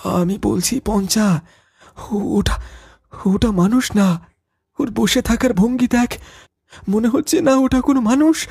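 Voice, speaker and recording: A very husky, creaky, high voice of a man acting a superstitious young villager in a cartoon ghost tale. He is earnest and nervous, hesitant with fear. The sound is close and dry. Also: takes it slowly, pauses often, darkish tone, dry recording, exaggerated voice. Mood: frightened. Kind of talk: Bengali cartoon ghost story dialogue.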